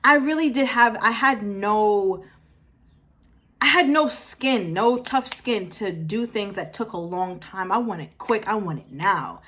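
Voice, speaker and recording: A woman's voice: mid-range voice, lively intonation, measured pace, fairly bright tone. Emphatic young woman giving a pep talk. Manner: emphatic, repeats phrases for effect, self-correcting. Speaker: motivational vlogger. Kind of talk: casual motivational talk to camera.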